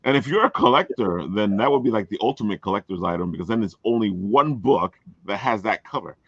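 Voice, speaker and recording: A man with a fairly low voice chats casually, his pitch moving in a very animated way. The recording is dry and somewhat dull. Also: fast talker, husky, no echo. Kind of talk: casual chat.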